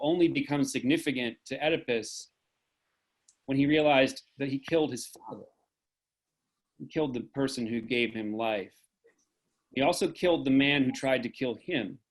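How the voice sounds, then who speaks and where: Guy leading a zoom talk, calm and earnest, thinking out loud. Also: medium pitch, low-quality recording. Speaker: discussion moderator. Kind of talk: reflective discussion over video call. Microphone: video call.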